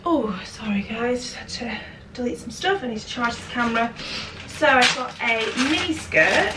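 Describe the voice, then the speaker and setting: Upbeat British woman in her twenties with a cold; high-ish voice, loose casual chat, recorded echoey and distant from the camera. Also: animated, moderate pace. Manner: chatty, upbeat, thinking aloud. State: congested, bunged up with a cold. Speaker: fashion vlogger. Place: home kitchen, indoors. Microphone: camera mic from a distance. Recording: echoey kitchen, far from mic, loud noise.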